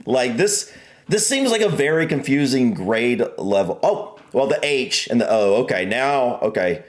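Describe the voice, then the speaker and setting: A man's voice: medium pitch, lively intonation, unhurried. An American man co-hosting a reaction video, easygoing and thinking aloud as he chats. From United States. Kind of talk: casual reaction chat.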